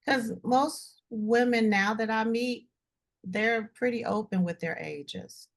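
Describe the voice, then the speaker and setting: A woman co-hosting a casual talk show, easygoing and relaxed, with fillers. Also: fairly high pitch, slow pace. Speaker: podcast co-host. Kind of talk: casual chat.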